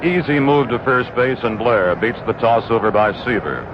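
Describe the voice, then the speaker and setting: A male play-by-play announcer calls a ballgame in a steady, measured way, weaving statistics between pitches. The sound is a dull, narrow-band 1960s radio recording, with clear noise and stadium ambience behind him. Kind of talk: live radio baseball commentary. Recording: muffled old 1960s radio broadcast with noise.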